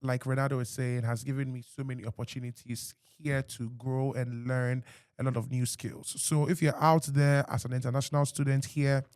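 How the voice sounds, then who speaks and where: A man's voice: medium pitch, level tone, normal speed, darkish tone, clean tone, dry recording. Ghanaian male podcast host, upbeat interviewer, dry close mic. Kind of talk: interview questions.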